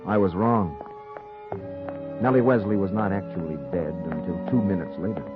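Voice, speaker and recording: A man acting as a dogged American noir reporter, pitched low, telling his crime story like a 1940s radio thriller. The recording is narrow and muffled, with music behind him. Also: normal speed, natural voice. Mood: brooding, ominous.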